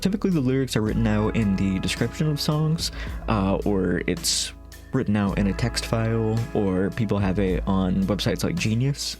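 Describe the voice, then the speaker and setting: Rambling low-voiced man, self-deprecating chat. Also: moderate pace, a little mellow, soft background music. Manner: rambling, self-deprecating, many fillers and restarts, laughs often. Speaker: vocal synth song cover creator. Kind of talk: casual FAQ chat to camera.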